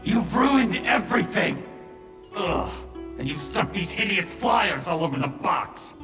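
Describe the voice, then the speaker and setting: An actor playing a hot-tempered, bullying bandit smuggler in a video game, gruff and scornful. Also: male voice, high voice, sing-song, a little slow, exaggerated voice. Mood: furious. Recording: roomy echo, clear music behind the voice.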